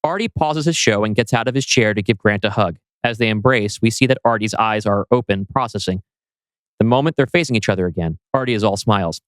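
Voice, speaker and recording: A man's voice: on the low side, fairly quick, clear, resonant tone, no echo, rough recording. A man narrating a screenplay, reading out scene directions as scripted narration.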